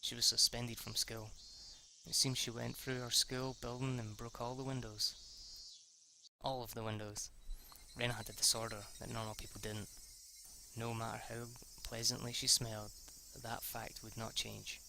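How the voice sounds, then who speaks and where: Guy reading a story out loud, flat gravelly voice, trips over words and fixes them, crickets chirping behind him. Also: medium pitch, a little hiss or hum. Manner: halting, stumbles and corrects himself, swears aside. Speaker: reader of a visual novel. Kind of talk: amateur read-through of a story.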